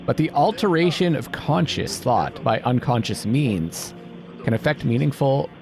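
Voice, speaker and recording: Male game streamer, casual and pondering, animated intonation, background music clearly audible. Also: mid-range voice, a little slow. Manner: thinking aloud, puzzling things out. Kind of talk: casual let's-play commentary, reading game text aloud.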